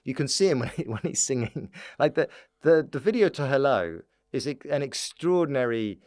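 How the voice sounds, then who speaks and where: A man's voice: medium pitch, animated, normal speed, darkish tone, dry recording, studio quality. A man telling comic stories as a guest in a casual podcast chat, laughing as he talks. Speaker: podcast guest, stand-up comedian.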